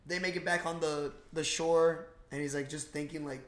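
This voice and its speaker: A young man co-hosting a podcast, recapping a movie in casual, slangy, excitable talk with plenty of swearing. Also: fairly high pitch, unhurried. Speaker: podcast host. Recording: roomy, echoing room sound.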